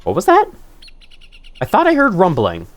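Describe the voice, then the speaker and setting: A young man talks through a game in loose, rambling commentary, swearing now and then. His nose sounds stuffy, and his pitch swings widely up and down as he chats. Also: wildly swooping pitch, moderate pace, a bit bright. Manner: rambling, chatty, swears casually. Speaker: gaming channel host. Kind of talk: casual let's-play commentary.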